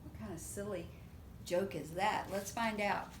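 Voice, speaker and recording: A woman reading a picture book aloud to young children in an expressive, animated way, often putting questions to her listeners. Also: measured pace. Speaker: preschool storytime reader. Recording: roomy echo with faint low rumble.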